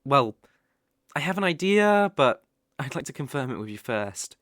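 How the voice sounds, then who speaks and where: A man performs a sly young kitsune fox spirit with a British accent in an intimate ASMR roleplay. He speaks softly and coaxingly, possessive under a playful hesitance, at a middling pitch. The sound is close and very dry. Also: very animated, normal speed, no echo. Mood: gentle, inquiring. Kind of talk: ASMR roleplay, acted directly to the listener. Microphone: close microphone, dry.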